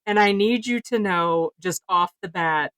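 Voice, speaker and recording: A woman's voice: mid-range voice, measured pace, a bit bright, no room sound, rough recording. A woman co-hosting a podcast in casual panel chat, speaking informally and with emphasis. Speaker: podcast co-host.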